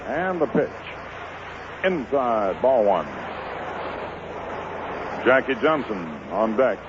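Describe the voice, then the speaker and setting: A man's voice: mid-range voice, lively intonation, slow. An American man calling baseball play-by-play in clipped short phrases, in the style of 1950s radio sports commentary. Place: outdoor ballpark broadcast. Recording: muffled, noisy 1950s radio broadcast.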